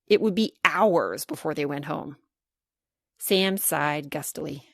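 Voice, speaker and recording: A woman reading a children's storybook aloud as scripted narration, expressive and clearly paced. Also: low-pitched, takes it slowly, no echo, clean studio sound. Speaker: author reading her children's book.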